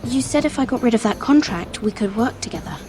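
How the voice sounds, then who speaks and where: A woman playing a shrewd young noblewoman in a fantasy game, speaking politely and with measured care. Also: a little high, lively intonation, close to an everyday voice. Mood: probing, persuasive. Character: poised, shrewd young noblewoman scheming at court. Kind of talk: fantasy video game acting. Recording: music behind the dialogue.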